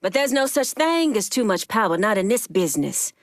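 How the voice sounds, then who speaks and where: A woman's voice: medium pitch, very animated, fairly quick, no room sound, clean studio sound, close to an everyday voice. An actress playing a proud, shrewd woman crime boss in a video game, speaking firmly and bluntly. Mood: resolute.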